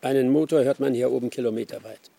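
An actor playing a grim, laconic older tracker in an audio drama, creaky-voiced and drily sardonic. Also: male voice, mid-range voice, fairly even intonation, quick pace, mellow. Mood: matter-of-fact. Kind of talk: German audio drama acting.

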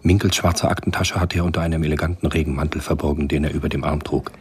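An actor narrating a German radio spy thriller in a measured, scripted style. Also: male voice, rumbling low, steady tone, breathy edge, heavily acted.

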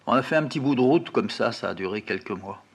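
A man telling a first-person childhood memory in a plain, unhurried, quietly reflective way. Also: mid-range voice, expressive, slightly rough. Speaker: storyteller. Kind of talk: first-person memoir narration.

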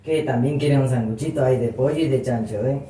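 A man's voice: medium pitch, brisk, mellow, natural voice. A man playing a cowardly, gluttonous, lazy policeman in a comic skit, whiny and self-excusing. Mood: carefree. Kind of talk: amateur comedy skit acting. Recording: echoey room, distant mic, background noise.

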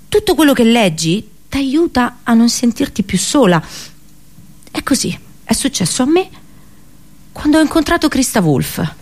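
A woman actor delivering a theatrical radio drama monologue, dramatic and halting, with deliberate pauses and repetitions. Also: fairly high pitch, darkish tone. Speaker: actress performing a monologue.

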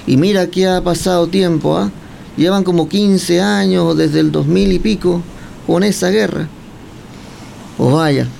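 A man's voice: sits high, creaky, darkish tone, slight background noise. A man from Cuba, chatting and teasing as he questions a guest and tells long stories. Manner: chatty, teasing, drawn-out storytelling. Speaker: guest interviewer. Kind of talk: casual chat.

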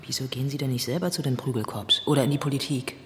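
Very low-voiced dry policewoman. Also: steady tone, very fast. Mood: mocking. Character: dry, dismissive female police detective. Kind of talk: radio drama acting. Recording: very echoey room.

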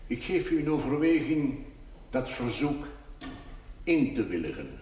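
Solemn priest, very echoey room. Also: male voice, mid-range voice, big pitch swings, warm tone. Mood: formal, advising. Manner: formal, deliberate, sermonizing. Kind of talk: measured, theatrical television drama acting. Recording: very echoey, noisy 1970s TV recording.

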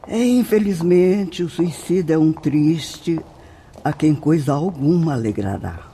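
A woman's voice: low-pitched, lively intonation, a little slow, dark tone, slight background noise. A Brazilian woman playing a venerable nun who guides spirits in a radio drama, speaking in a solemn, declamatory, tenderly instructive way. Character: venerable, devout nun guiding spirits in the afterlife.

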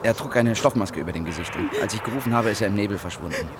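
Actor as an earnest, low-voiced reporter. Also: male voice, animated, racing, background noise, close to an everyday voice. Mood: shaken. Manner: hesitant but insistent, questioning. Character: earnest, persistent male newspaper reporter, a war veteran. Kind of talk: naturalistic German radio drama acting.

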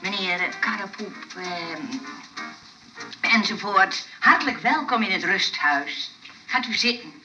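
Bright, flustered rest-home hostess. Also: female voice, mid-range voice, expressive, soft background music. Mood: flustered, eager. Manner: hesitant, halting, chattering. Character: fussy, flustered, hospitable woman running a rest home. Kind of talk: radio play acting. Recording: slightly noisy, distant radio play recording.

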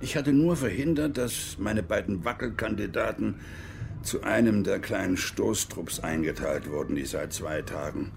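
A man's voice: on the low side, moderate pace, gravelly, a little hiss or hum. An actor playing a stern, agitated older army major and father in a radio crime drama. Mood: reflective recounting. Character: stern, agitated East German army major, father, recounting his past.

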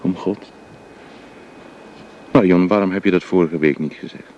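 An ardent suitor in a Dutch radio play, speaking low and earnestly as he pleads, played by an actor. A faint ambient hiss sits under his voice. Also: normal speed, dark tone, lo-fi sound, natural voice. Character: Jaap, restless ardent suitor.

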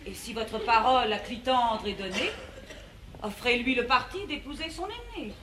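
A woman's voice: fairly high pitch, lively intonation, moderate pace, a bit bright. A woman playing an imperious, pedantic bourgeois mother in a French verse stage comedy, haughty and declamatory. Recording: echoey, noisy vintage theatre recording.

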